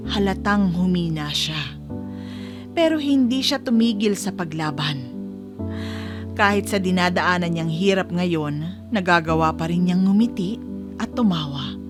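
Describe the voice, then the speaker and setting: A woman's voice: lowish voice, lightly acted. A woman playing a radio hostess who reads a mother's letter as sentimental, earnest narration, with a Filipino accent. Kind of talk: scripted dramatic narration, radio drama. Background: music bed.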